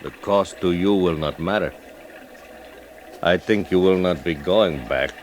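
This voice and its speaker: Western villain guide: low, deliberate, clipped broken English, cold and double-dealing, vintage radio with faint noise. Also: male voice. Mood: coldly sly. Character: treacherous, cold half-breed frontier guide, adult man. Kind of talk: old-time radio western acting.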